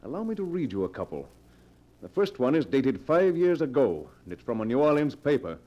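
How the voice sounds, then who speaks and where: An actor playing a wealthy betrayed husband in an old radio drama, speaking in formal, pointed phrases. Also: male voice, mid-range voice, sing-song, a little fast. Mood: cold, accusing. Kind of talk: golden age radio drama acting. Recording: muffled old radio show recording.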